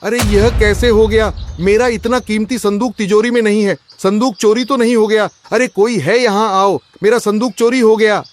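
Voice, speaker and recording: Guy acting a worked up cartoon merchant husband, kinda high voice, exclaims and complains a lot. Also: steady tone, moderate pace, hardly any pauses, no echo, close to an everyday voice. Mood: distressed. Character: agitated rich merchant husband, suspicious and indignant. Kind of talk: Hindi cartoon story voice acting.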